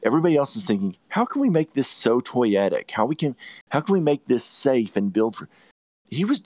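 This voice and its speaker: A low-pitched man speaks as a podcast host in a close, dry recording. He shares opinionated takes on films, leaning on repetition and emphasis to drive his points home. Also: darkish tone, dry recording. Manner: emphatic, repeats phrases for stress. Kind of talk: opinionated movie review chat.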